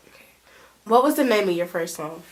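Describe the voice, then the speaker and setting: A woman's voice: medium pitch, expressive, fairly quick, rough recording. A woman interviewer asking brief, plain questions.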